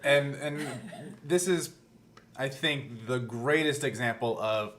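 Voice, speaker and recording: A man's voice: medium pitch, slow, fairly bright tone, a little hiss or hum. A man who co-hosts a podcast, explaining things casually in a hesitant, self-correcting way. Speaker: podcast co-host, game master. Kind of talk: casual chat, reflective explaining.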